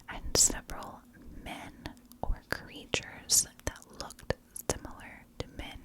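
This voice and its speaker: A woman telling a story as whispered ASMR narration, hushed and in short phrases. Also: rough recording. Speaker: ASMR true crime storyteller, channel host.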